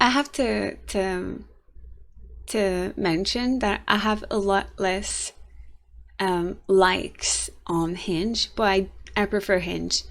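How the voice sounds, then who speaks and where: A young woman with a raspy, tired voice, talking casually to her camera in a rambling, halting vlog. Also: mid-range voice. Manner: rambling, halting, frequent restarts and soft laughs. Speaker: vlogger.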